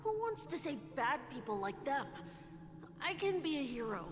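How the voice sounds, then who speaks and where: High, earnest little village boy. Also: moderate pace, big-room sound, soft background music. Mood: earnest, reluctant. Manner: pleading, childlike, expressive. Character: earnest young village boy, sheltered and stubborn. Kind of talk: video game voice acting.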